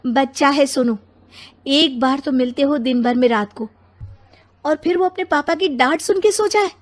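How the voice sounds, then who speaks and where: A woman's voice: high-pitched, normal speed, pitched above the performer's own voice, lightly acted. Woman acting a chiding, protective cartoon mother. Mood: reproachful. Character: reproachful, protective young mother and wife. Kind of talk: cartoon family-story acting.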